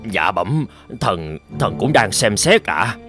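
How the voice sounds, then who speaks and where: A man voicing a cringing, evasive official in an animated folk tale, hesitant and obsequious, with music behind. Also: fairly low pitch, slow pace. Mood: nervous, servile. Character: cringing, evasive male official bowing to his ruler.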